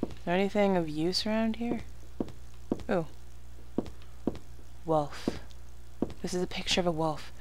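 Lowish-voiced gamer girl commentating a horror game, swears and mutters to herself, noisy background. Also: measured pace, pauses often. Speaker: let's play gamer. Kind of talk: casual horror game commentary, reading game text aloud.

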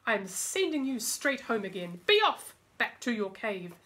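Stern storybook fairy, played by a woman, higher voice, bossy, firm emphatic scolding. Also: sing-song, unhurried, fairly bright tone.

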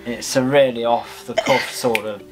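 A man from England co-hosting a relaxed home chat, chatty and quick to laugh. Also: mid-range voice. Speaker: co-host. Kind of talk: casual chat. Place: living room. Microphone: camera across the room.

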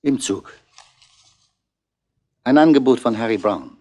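An actor playing a calm French police commissioner with measured, direct questions in a 1960s German radio crime drama. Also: male voice, medium pitch. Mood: dry, reflective.

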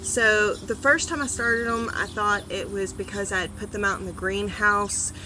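A woman in her thirties giving a casual gardening vlog outdoors on a front porch, chatty, with many ums and animated asides. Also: medium pitch, moderate pace, a little hiss or hum. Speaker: gardening vlogger. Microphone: phone or camera on a small tripod.

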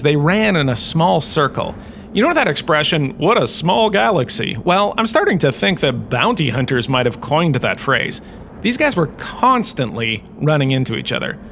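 A man's voice: mid-range voice, a bit bright, slight background noise. A man playing a glib, theatrical university lecturer who gives a scripted comic talk with wry, chatty asides. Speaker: host.

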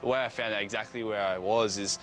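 A man's voice: fairly low pitch, steady tone, fast talker, a bit bright. A young man, speaking hesitantly in a news interview, with many fillers and restarts. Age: late teens. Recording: news interview with music underneath.

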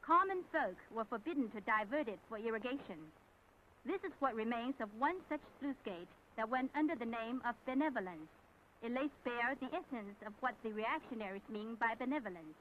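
Woman, high voice, formal declamatory documentary narration, 1970s propaganda film, narrow tinny soundtrack. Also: animated, brisk, slight background noise.

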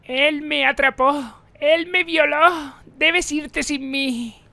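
Whiny male cartoon sidekick, put on by a voice actor, very high, bright, hesitant, deferential. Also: fairly even intonation, slow pace, vocal fry, no room sound, heavily acted. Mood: pitiful. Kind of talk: comedy cartoon fandub acting.

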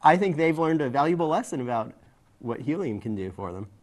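A man's voice: on the high side, big pitch swings, brisk. A man in his forties co-hosting a science show, explaining things in casual banter. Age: 40s. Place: studio set. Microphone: clip-on lapel mic.

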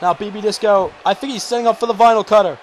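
A man calling a video game wrestling match play-by-play, shouting excitedly and going hoarse. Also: fast talker, a little hiss or hum. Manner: shouting, high-pitched and strained, losing his voice. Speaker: commentator.

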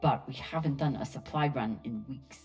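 An actor playing a steady, dry, matter-of-fact spaceship captain in a video game. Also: male voice, mid-range voice, normal speed, dull audio, soft background music, close to an everyday voice. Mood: concerned.

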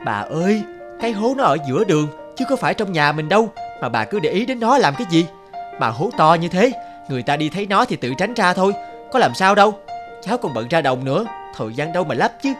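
A man voicing a stubborn, grumbling young farmer grandson in an animated folk tale. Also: high voice, quick pace, music in the background. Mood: dismissive. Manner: exasperated, dismissive.

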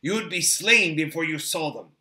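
Man as a stern, bright-voiced elf sentinel. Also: fairly high pitch, animated. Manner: formal, measured, commanding. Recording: roomy, echoing sound.

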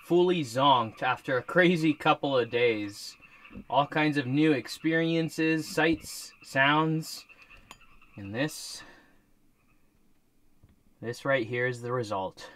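A man in his thirties talks straight to his camera from a cramped truck camper. He sounds relaxed and chatty, in an everyday mid-range voice. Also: mid-range voice, slow, long pauses. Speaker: vlogger. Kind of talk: casual solo camping vlog. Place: inside a small truck camper.

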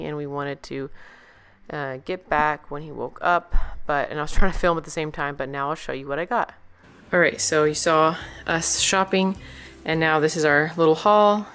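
A woman's voice: low voice, normal speed, a bit bright, clean tone, soft background music. A woman chatting casually and enthusiastically in an informal shopping haul vlog. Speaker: vlogger, parent.

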